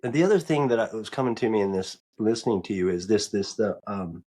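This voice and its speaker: A man's voice: fairly low pitch, lively intonation, fast talker, warm tone, slightly rough. A man hosting a podcast interview, thinking aloud hesitantly with many restarts. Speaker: podcast host.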